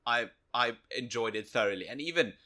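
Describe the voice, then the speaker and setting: Bright, forceful-voiced male podcast co-host. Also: mid-range voice, expressive, a little fast. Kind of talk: casual video game review talk.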